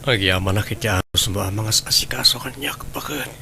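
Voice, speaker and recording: A man's voice: fairly low pitch, brisk, creaky, bright, forceful tone, a little hiss or hum, heavily acted. A man playing a husband in a troubled household audio drama. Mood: weary, worried. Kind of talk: Ilocano audio drama acting.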